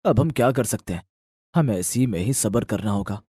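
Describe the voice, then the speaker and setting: A man voicing a gentle, loyal husband in a moral story, reassuring and resigned. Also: fairly low pitch, lively intonation, normal speed, husky, no room sound. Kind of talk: dramatised Hindi moral story acting.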